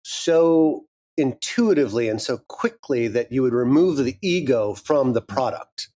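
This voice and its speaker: A man's voice: mid-range voice, unhurried. A man, the creator of an audio drama, talking reflectively and animatedly in an interview about his writing. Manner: reflective, animated, thinks aloud. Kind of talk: interview conversation.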